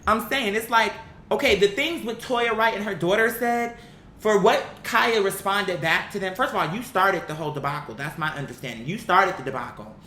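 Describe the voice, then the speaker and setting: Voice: normal speed, bright, forceful tone. A vlogger giving casual, opinionated commentary in a blunt, slangy and emphatic way. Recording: roomy echo, faint rumbling background noise.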